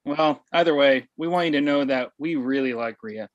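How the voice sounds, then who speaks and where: A man's voice: medium pitch, moderate pace, muffled recording, natural voice. A man playing a tactless, self-concerned middle-aged father in a comedy script table read.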